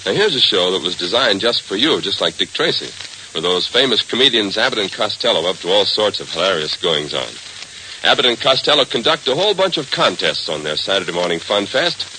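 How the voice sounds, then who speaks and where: A man's voice: on the low side, sing-song, fairly quick, bright, forceful tone, slight background noise, low-quality recording. An American man announcing in an emphatic, theatrical 1940s radio style, on a vintage broadcast recording.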